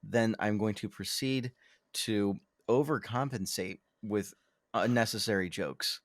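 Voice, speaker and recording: A man's voice: on the low side, a little slow, no echo, studio quality. Rambling self-mocking male co-host. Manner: rambling, self-deprecating, many ums and restarts. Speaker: podcast co-host. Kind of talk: casual podcast chat.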